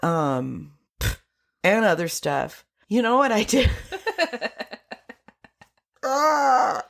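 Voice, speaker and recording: A woman's voice: on the high side, big pitch swings, extremely slow, gravelly. A woman co-hosting a podcast, chatting casually about films in an informal, jokey way with some swearing. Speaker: podcast co-host. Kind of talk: casual film review chat.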